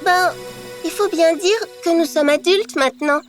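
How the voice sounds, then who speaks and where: A woman voicing a gentle, sensible, peace-making young girl in a children's cartoon, carefully worded and proper. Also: extremely high pitch, unhurried, over music. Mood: thoughtful.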